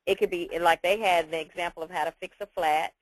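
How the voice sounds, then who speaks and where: A woman's voice: lowish voice, fairly even intonation, a little fast, no echo, poor audio. Reassuring woman teaching, close dry sound. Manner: explanatory, reassuring, repeats key points. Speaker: teacher leading a class conference call. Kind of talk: informal spoken instruction.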